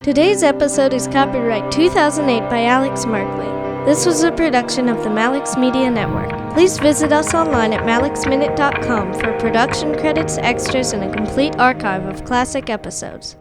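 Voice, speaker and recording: A woman's voice: high voice, normal speed, hardly any pauses, over loud music. A woman reading scripted podcast credits and a sign-off as an announcer.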